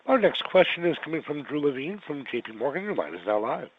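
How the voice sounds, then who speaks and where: A man's voice: on the high side, sing-song, fast talker, slightly rough, no room sound. A man working as a conference call operator, reading scripted prompts to moderate questions. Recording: tinny, dry phone line.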